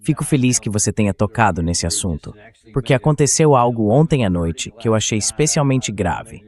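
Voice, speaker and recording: Man, mid-range pitch, talk show host, political commentary, translated voice-over. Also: lively intonation, a little fast, darkish tone.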